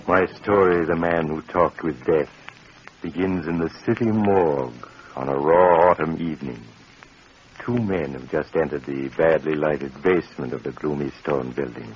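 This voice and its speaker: An actor playing a sinister doctor who greets listeners and tells a strange tale in a slow, silky, ominous way, in 1940s radio drama style. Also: male voice, a bass voice. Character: sinister doctor host, middle-aged man, smooth and menacing. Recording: dull lo-fi old radio recording with hiss.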